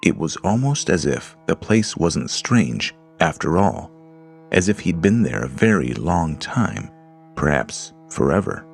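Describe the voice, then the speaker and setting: A man narrating a horror story in a slow, deliberate storytelling manner. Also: a bass voice, big pitch swings, gravelly. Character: Lars, a frightened man alone in a dark house. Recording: music under the voice.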